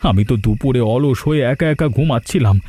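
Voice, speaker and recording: An actor playing a lazy young village husband in a cartoon, whiny and stumbling over words. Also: male voice, mid-range voice, normal speed, dark tone, natural voice. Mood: distressed. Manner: stammering, repeats words when flustered. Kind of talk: Bengali cartoon dialogue.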